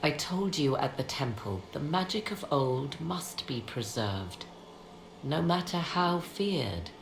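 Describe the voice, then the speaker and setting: A proud, guarded sorceress from a fantasy video game. Her voice is extremely low and swings widely in pitch as she speaks in formal, deliberate phrases. The recording is echoey and noisy. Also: animated, full character voice. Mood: grave, troubled. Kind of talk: dramatic video game dialogue.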